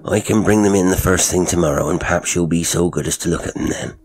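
A man's voice: low-pitched, fast talker, darkish tone. A man voicing a deferential elderly male servant with polite, hesitant rustic speech.

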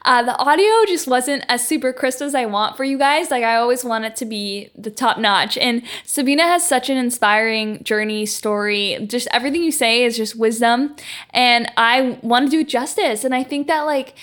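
A woman's voice: a little high, moderate pace, fairly bright tone. Chatty young woman hosting a podcast. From Canada. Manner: upbeat, gushing, lots of "like" fillers. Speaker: podcast host. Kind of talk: casual podcast chat.

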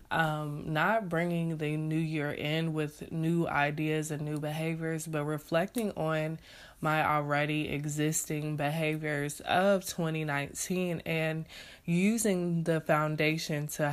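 Female podcast host, low and unhurried, congested, rambling casual delivery. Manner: rambling, many fillers and restarts. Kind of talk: casual solo podcast reflection.

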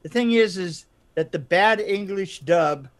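A man's voice: sits high, animated, measured pace. A man co-hosting a film podcast in casual chat, emphatic and jokey, often repeating himself. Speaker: podcast co-host reviewing films.

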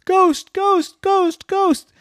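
Frightened young girl, performed by a man; storybook character, pitched up, dramatic exclaiming. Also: expressive, slow, creaky, a bit bright, no echo, clean studio sound, full character voice. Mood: terrified. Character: scared little girl, whiny, then screaming.

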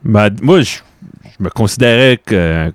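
Laid-back guy on a podcast, lowish voice, short plain answers. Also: moderate pace, smooth, no echo. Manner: relaxed, plain-spoken, short replies. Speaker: podcast co-host. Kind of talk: casual chat.

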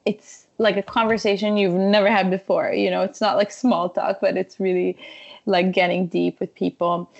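A woman's voice: medium pitch, moderate pace, vocal fry, dull audio. A woman from Israel, a wellness coach, speaking as a podcast guest and telling stories animatedly. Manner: animated storytelling, frequent laughs. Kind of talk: conversational interview.

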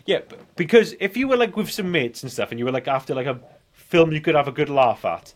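A man in his forties with a slightly higher voice than most. He co-hosts a film podcast and talks in a casual, rambling way, circling around his point. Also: expressive, fairly quick. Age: 40s. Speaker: podcast co-host. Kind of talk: casual film-review banter.

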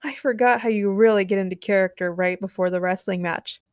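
A woman's voice: medium pitch, fairly quick, a little mellow, clear, resonant tone, dry recording, clean studio sound, lightly acted. Woman as a wry male wrestling coach. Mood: placating. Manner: muttering, wry.

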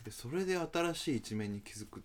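A man's voice: on the high side, lively intonation, fast talker. A man co-hosting a podcast, casually chatting and reacting to the other speaker. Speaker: podcast host. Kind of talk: casual chat, reacting to a co-host.

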